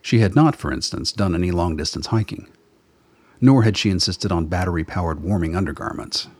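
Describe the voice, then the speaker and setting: A man's voice: deep voice, fairly quick, darkish tone, husky, no room sound. A man reading scripted narration for a radio drama.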